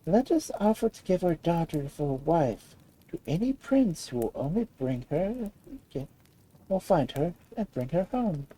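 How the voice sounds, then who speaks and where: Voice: medium pitch, very animated, measured pace, dark tone, pitched above the performer's own voice, poor audio. A storyteller voicing a hopeful, determined fairy-tale queen with a persuasive, encouraging manner.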